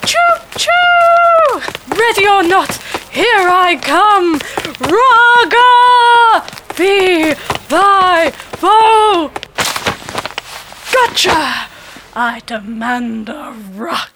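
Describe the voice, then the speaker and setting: A woman playing a pompous, jolly, oblivious older British gentleman, a former sportsmaster, with theatrical old-fashioned bluster in a comedy sketch. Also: sing-song, very slow, heavy background noise, full character voice. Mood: gleeful, manic. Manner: booming bluster, old-fashioned posh exclamations.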